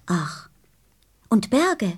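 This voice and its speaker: A woman's voice: mid-range voice, big pitch swings, extremely slow, breathy edge, exaggerated voice. A woman playing a curious, innocent little boy prince in a radio play, earnest and questioning.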